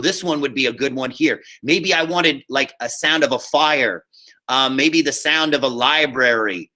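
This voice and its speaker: A man teaching a high school class online, giving informal step-by-step instructions and often checking that students follow along. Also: sharp tone. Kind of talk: live online class instruction, screen-share walkthrough.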